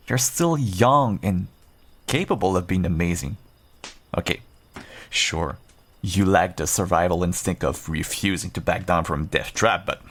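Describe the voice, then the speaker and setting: A man playing a seasoned werewolf adventurer, gruff but kindly and wry, talking straight to the listener in an audio roleplay. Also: deep voice, full character voice. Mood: encouraging, wry. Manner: reassuring, wry, bantering. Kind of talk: audio roleplay, one-sided dialogue addressed to listener.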